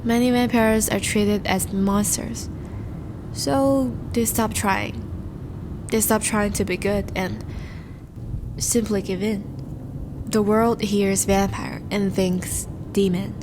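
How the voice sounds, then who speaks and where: A woman playing a charming, courtly vampire suitor in a romantic audio roleplay, speaking formally and gently to the listener. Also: mid-range voice, fairly even intonation, slow pace, long pauses, noisy background, lightly acted. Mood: tender, wistful. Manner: formal, courtly, gentle phrasing with soft sighs.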